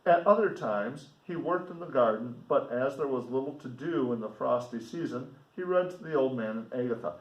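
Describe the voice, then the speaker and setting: A man reading a novel aloud as a teacher, in a deliberate, explanatory manner with casual asides. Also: medium pitch, animated. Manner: deliberate, explanatory, pausing to comment for students. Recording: roomy echo, distant microphone.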